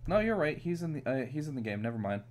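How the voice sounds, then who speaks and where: A man's voice: a little high, sing-song, quick pace, darkish tone. A man from New York streaming a strategy game, chatting casually with plenty of fillers. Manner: laid-back, chatty, many fillers. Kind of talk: casual gaming chat.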